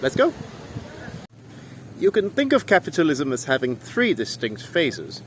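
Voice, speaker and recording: A man's voice: a little high, huge pitch swings, unhurried. A young man explaining history to camera outdoors, earnest and lecturing, stressing key words, filmed on a phone at arm's length. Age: young adult. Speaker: history vlogger. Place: outdoors in a town square by old buildings. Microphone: phone held at arm's length. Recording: faint street noise with passing vehicles.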